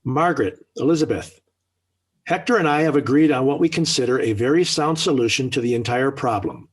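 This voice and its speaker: A man's voice: medium pitch, a little fast, close to an everyday voice. An American actor playing a genial, talkative middle-aged suburban father in a 1940s radio sitcom, hemming a bit and then turning firm. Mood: firm, presiding. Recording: slightly rough 1940s radio recording.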